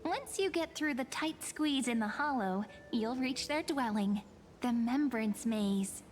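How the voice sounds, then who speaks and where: A soft, teasing fortune reader. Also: female voice, on the high side, animated, a little slow, faint music behind. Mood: wistful. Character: mysterious young woman fortune reader. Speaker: game character.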